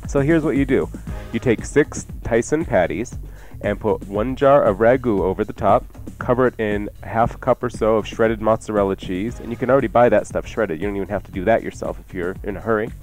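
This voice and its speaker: A man's voice: fairly low pitch, brisk, darkish tone. A man hosting a radio cooking show, talking in a casual, chatty, colloquial way. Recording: radio broadcast with music.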